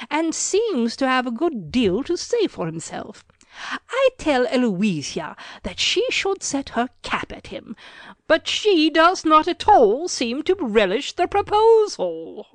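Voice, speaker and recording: Expressive, high-voiced woman reading aloud. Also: no room sound. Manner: expressive, measured, deliberate. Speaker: audiobook reader. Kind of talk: dramatic literary reading of a letter.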